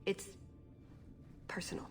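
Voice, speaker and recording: A woman playing an earnest, idealistic elven spy in a video game, speaking with measured sincerity. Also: a bit bright, faint music behind. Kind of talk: video game cutscene acting.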